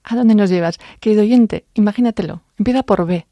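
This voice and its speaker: A woman hosting a radio music program, interviewing a guest and addressing the listener directly in a measured way. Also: mid-range voice, mellow, no echo, muffled recording. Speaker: radio host. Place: radio studio.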